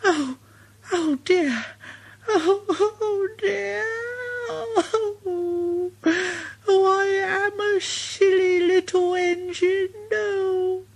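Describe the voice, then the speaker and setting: A man voicing a small, cheeky young tank engine in a storybook reading, with a high, squeaky, flustered voice. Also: steady tone, drawn-out words, gravelly, long pauses, full character voice. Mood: tearful.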